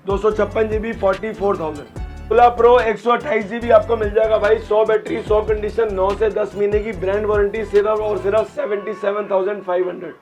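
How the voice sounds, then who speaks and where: A man's voice: high voice, a little mellow. A man with a sore throat, selling phones in his shop and rattling off prices in short clipped lists. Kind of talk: sales pitch in a shop. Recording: dull lo-fi sound.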